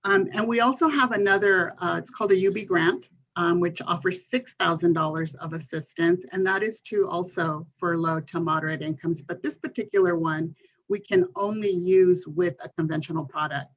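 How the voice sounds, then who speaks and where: A woman's voice: lowish voice, moderate pace. A woman from California's Central Valley introducing herself as a mortgage consultant on a panel, speaking steadily and earnestly with frequent um fillers. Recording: dull lo-fi sound.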